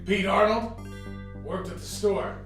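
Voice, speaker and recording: A man's voice: sits high, steady tone, slow pace, fairly bright tone. A man acting a plain-spoken townsman, distant and echoing. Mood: subdued. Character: plain-spoken small-town old-timer named Mister Jackson. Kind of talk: radio drama acting. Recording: distant, very echoey, faint music.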